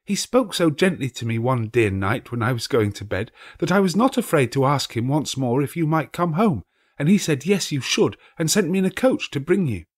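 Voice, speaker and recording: A man's voice: on the high side, big pitch swings, normal speed, voice pushed up. A man doing a gleeful little girl. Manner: eager, breathless joy. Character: eager little girl, full of glee.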